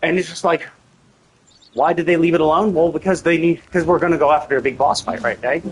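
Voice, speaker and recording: A man with a slightly high voice rants casually over a game stream. He is exasperated and emphatic, swearing freely, and there is some light background noise. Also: fairly even intonation, fairly quick, low-quality recording. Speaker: livestreamer. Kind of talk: casual gameplay commentary rant.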